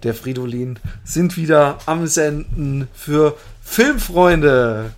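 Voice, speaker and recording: A man's voice: a little high, animated, slow pace. A man hosting a casual chat about films and series, rambling and playful, often breaking off mid-sentence. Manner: rambling, self-interrupting, playful. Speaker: podcast host.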